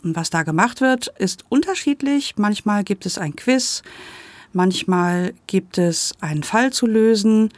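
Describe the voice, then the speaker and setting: A woman's voice: medium pitch, lively intonation, unhurried, no echo. A woman presenting a podcast report in a narrating style.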